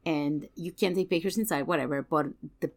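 A woman in a casual film discussion, speaking earnestly and emphatically and pausing to search for words. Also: low-pitched. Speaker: podcast guest.

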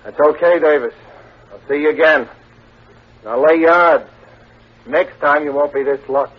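An actor playing a curt, bullying older crime boss in a vintage radio crime drama, talking in threatening slang. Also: male voice, fairly high pitch, moderate pace, natural voice. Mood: cold, threatening. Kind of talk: 1940s-50s radio crime drama acting. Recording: tinny, hissy vintage radio recording.

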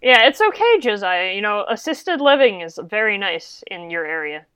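A woman's voice: medium pitch, very animated, normal speed, sharp tone, smooth, no room sound. A woman talking in a teasing, upbeat way in casual podcast chat.

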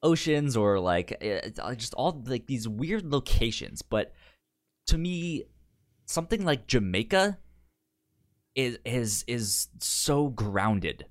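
A man co-hosting a casual film discussion podcast, speaking with a pronounced stutter that repeats sounds and syllables in a halting delivery. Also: medium pitch, big pitch swings. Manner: halting, hesitant delivery with frequent restarts. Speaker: podcast co-host.

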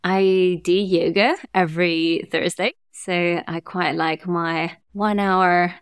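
Smooth-voiced woman guest, chatty. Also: on the low side, slow pace, no echo, clean studio sound. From Germany. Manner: relaxed, laughs often, uses fillers like "like". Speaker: agency cofounder, guest. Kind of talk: casual interview chat.

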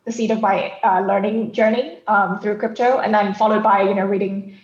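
A woman from Thailand speaking as a podcast guest, explaining her thoughts in a reflective, halting, conversational way. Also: mid-range voice, fairly even intonation, roomy sound, lo-fi sound. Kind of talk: conversational interview answers.

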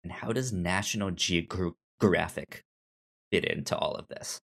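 A man hosting a casual review chat, speaking haltingly and often repeating or restarting his words. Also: low-pitched, fairly bright tone. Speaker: podcast host.

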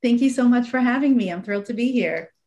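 A woman's voice: a little high, fast talker. A woman born in Iran, a nonprofit executive director, chatting as an interview guest and telling her story with small laughs and fillers. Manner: warm, reflective storytelling with soft laughs and fillers. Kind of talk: casual interview chat.

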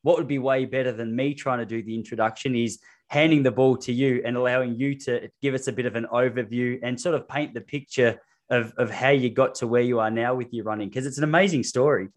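A man's voice: mid-range voice, steady tone, a little fast. A man hosting a running podcast, chatting with eager enthusiasm and plenty of fillers over a remote call. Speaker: podcast host and running coach. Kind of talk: casual podcast interview.

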